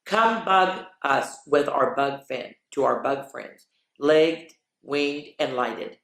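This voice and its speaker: A woman's storytelling voice in an echoey room. She reads to children in an expressive, playful way and breaks off for chatty asides, speaking to her young listeners directly. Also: a bass voice, slow. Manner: expressive, playful, drops into whispers for refrains. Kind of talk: animated read-aloud for children with chatty asides. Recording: roomy, echoey sound.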